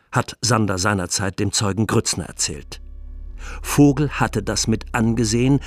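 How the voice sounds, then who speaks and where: A man playing a defence lawyer in a 1920s trial, delivering a rhetorical, deliberate and emphatic courtroom plea for a radio documentary. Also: mid-range voice, close to an everyday voice. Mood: grave, indignant. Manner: rhetorical, deliberate, emphatic, with dropped phrase endings. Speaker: defence counsel.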